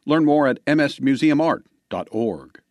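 A man reading a scripted radio sponsor announcement in an even, measured way. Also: medium pitch, no echo.

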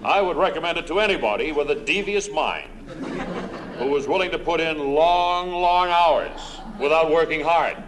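A man playing a smug, brazenly corrupt small-town mayor in a deadpan radio interview sketch, genial and matter-of-fact about his crooked deeds. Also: a little high, normal speed, lightly acted. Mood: shamelessly pleased. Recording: noisy old radio comedy recording.

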